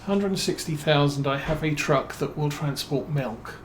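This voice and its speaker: A man with a British accent giving relaxed let's-play commentary as a streamer, musing and thinking aloud with plenty of fillers. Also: on the high side, moderate pace, a little hiss or hum. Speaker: game streamer.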